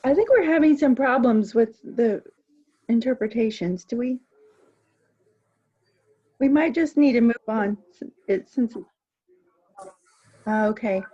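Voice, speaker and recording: A woman's voice: fairly high pitch, husky, highs cut off. A woman moderating an online meeting, calling on participants by name and moving things along. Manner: brisk, calls on participants by name.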